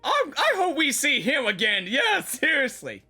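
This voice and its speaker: A woman giving casual, halting, informal live reactions to a video game as she plays. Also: high-pitched, wildly swooping pitch, bright, forceful tone, faint music behind. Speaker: gaming reaction host.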